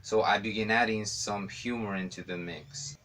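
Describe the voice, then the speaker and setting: A young man with a slight Spanish accent in English, casually telling a story to camera with relaxed fillers. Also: on the low side, moderate pace, rough recording. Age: young adult. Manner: relaxed, chatty, frequent fillers. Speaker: vlogger.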